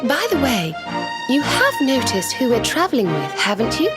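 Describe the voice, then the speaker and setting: A caring, slightly anxious young woman in a game cutscene. Her high voice rises and falls expressively with soft, earnest hesitancy. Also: wildly swooping pitch, moderate pace, loud music behind the voice. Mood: fond, teasing. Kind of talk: video game cutscene acting.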